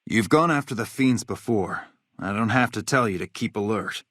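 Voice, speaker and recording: An actor playing a hard-bitten, vengeful military officer in a video game, blunt, profane and matter-of-fact. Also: male voice, on the low side, normal speed, fairly bright tone, no echo, clean studio sound. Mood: stern, businesslike. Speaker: game character, army officer.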